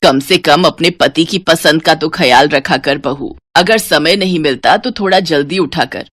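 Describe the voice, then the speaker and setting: A nagging older mother-in-law played by a woman in a family story cartoon. She speaks low and close in a dry recording, scolding and taunting with grumbling sarcasm. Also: a little slow, fairly bright tone, no room sound. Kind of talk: Hindi moral story cartoon voice acting.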